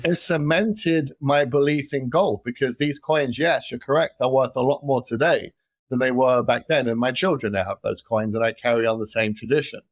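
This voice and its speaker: A man with a London accent, talking as a guest in an interview with lively energy, often repeating words as he starts a sentence. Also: medium pitch, moderate pace, dry recording. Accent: London English. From London, England. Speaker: guest, gold investment expert. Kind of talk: casual interview talk.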